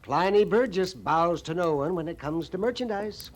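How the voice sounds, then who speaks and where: A man playing a boastful, fawning storekeeper in an old-time radio western, chuckling through drawn-out, stammering sales patter, on a vintage recording. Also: sits high, animated. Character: boastful, fawning frontier storekeeper, excitable and eager to sell.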